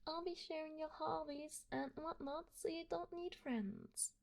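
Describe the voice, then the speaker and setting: A woman playing an obsessive, lovestruck yandere kidnapper in an ASMR roleplay, doting and possessive, with a breathy voice that often drops into whispers. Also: high voice, fairly even intonation. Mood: doting, rambling. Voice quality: breathy, frequently dropping into whispers. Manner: soft, coaxing, slow, with hesitant pauses. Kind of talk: ASMR roleplay, intimate one-sided address to the listener.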